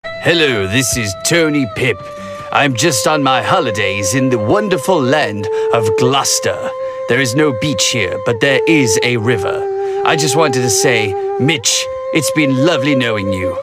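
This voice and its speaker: A man's voice: mid-range voice, animated, measured pace. A man delivering a mock-solemn comic farewell in a grandiose, theatrical manner. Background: prominent music.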